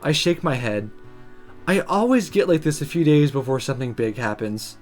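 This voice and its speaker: A woman let's player narrates a visual novel in the first person. Her reading is dramatic and introspective with lively pitch movement, and soft music runs behind her. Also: normal speed.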